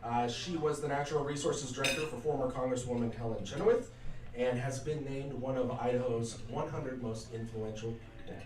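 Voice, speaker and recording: A man's voice: medium pitch, fairly even intonation, brisk, noisy background. An American man hosting an event, giving informal introductions and putting questions to a panel. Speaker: event host and panel moderator. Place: indoor event room at a lunch. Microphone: shared event microphone. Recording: echoey room, distant microphone.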